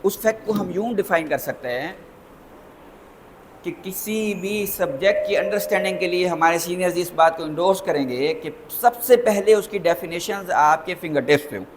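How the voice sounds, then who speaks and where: A Pakistani man giving a lecture as a lawyer, deliberate and emphatic, slowing down when he mixes in English phrases. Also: high-pitched, slight background noise, rough recording.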